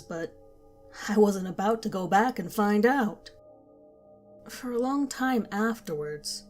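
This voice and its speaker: A woman narrating a first-person horror story in a calm, measured storytelling manner. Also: mid-range voice. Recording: faint background music.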